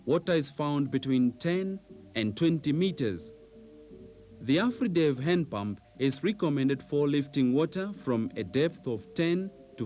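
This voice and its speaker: A man reading scripted instructional narration in a measured, precise, didactic manner, on an old VHS training film soundtrack. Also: on the high side, darkish tone.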